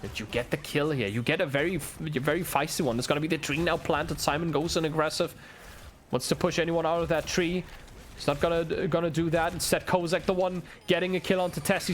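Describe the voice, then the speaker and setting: A man's voice: sits high, slight background noise. A young man with a German accent, whose first language is German, doing solo play-by-play commentary on an esports match, building up with the action. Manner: excitable, ramps up with the action, chats with viewers. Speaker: esports caster.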